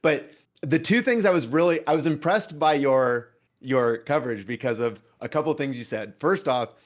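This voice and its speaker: A man of middling pitch chats casually as a guest. He is earnest and emphatic, rambling from point to point and swearing freely. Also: normal speed. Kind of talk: casual chat with heavy swearing.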